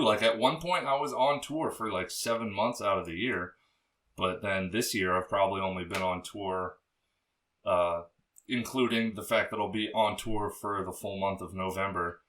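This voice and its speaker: A young man with a low, bassy voice, chatting as a podcast guest in a loose, rambling way, full of fillers and swearing. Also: a bit bright, distant mic. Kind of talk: casual interview chat.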